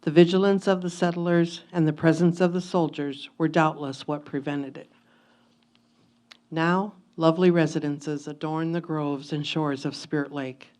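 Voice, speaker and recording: A woman giving a solemn, measured dramatic reading of a first-person historical memoir. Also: low-pitched, darkish tone. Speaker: reader of historical letters in reader's theatre.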